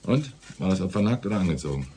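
A man's voice: deep voice, big pitch swings, quick pace, warm tone, slight background noise. A man acting a dry, stubborn, brooding police detective in a German radio play. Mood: businesslike. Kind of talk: low-key German radio crime drama.

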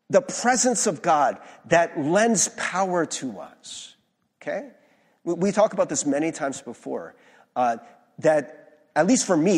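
A male pastor giving a conversational sermon in a church, hoarse-voiced, informal and self-deprecating, with many fillers and restarts. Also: on the high side. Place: church sanctuary. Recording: long reverb of a large hall.

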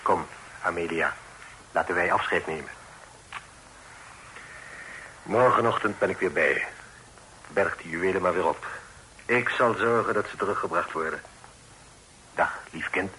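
Grave middle-aged father, courteous and solemn, bright-toned voice, noisy vintage radio play. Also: low-pitched, normal speed, long pauses. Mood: protective. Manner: formal, solemn, old-fashioned phrasing. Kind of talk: vintage Dutch radio drama acting.

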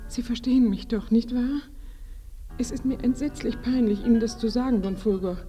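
An actress in a radio play voicing a bashful, flustered village bride-to-be who is devout and humbly grateful, hesitant and often close to whispering. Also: female voice, a little high, normal speed, warm tone, soft background music, close to an everyday voice.

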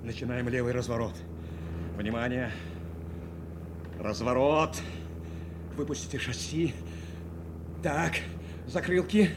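An actor playing a devoted military aircraft navigator in an audio drama, giving clipped commands and reassurances. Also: male voice, medium pitch, fairly even intonation, slow, pauses often, natural voice. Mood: focused, controlled. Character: military aircraft navigator guiding his wounded commander to land, urgent and devoted. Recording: loud engine noise behind the voice.

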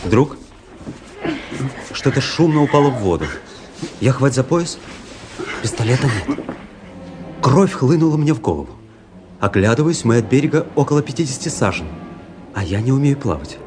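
A man's voice: mid-range voice, big pitch swings, normal speed, long pauses, close to an everyday voice. An actor playing an intense, introspective young officer who narrates his own adventure in a radio drama. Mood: agitated, breathless. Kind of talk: dramatic first-person narration, radio play. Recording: radio play with music underneath. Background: music.

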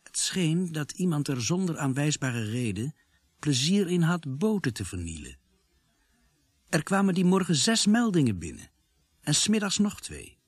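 A man's voice: fairly high pitch, big pitch swings, normal speed, slightly rough, dry recording. A man narrating a radio drama as a grave storyteller, in scripted dramatic narration. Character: grave male storyteller of a village murder mystery.

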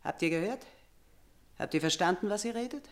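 An actress playing a middle-aged, tormented and menacing queen in a radio tragedy, with imperious, drawn-out theatrical declamation. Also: lowish voice, animated. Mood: brooding.

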